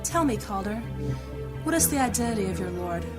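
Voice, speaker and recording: A woman's voice: mid-range voice, lively intonation, brisk, loud music behind the voice, natural voice. A woman playing a resolute, commanding mage with formal archaic diction in a fantasy audio drama. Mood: cold defiance. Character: proud, commanding female mage, resolute and incanting spells.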